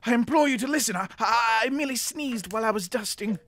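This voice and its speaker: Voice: medium pitch, fast talker, fairly bright tone, lightly acted. An actor playing a meek, long-suffering manservant in a comedy audio drama, hesitant and formally polite. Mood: flustered, pleading.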